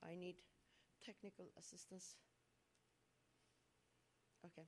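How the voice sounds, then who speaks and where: A woman's voice: lowish voice, flat delivery, slow pace, darkish tone. Earnest Turkish-raised woman telling a story. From Turkey. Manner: earnest, reflective, with frequent fillers and restarts. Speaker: speaker at a talk. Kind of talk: personal story in a public talk.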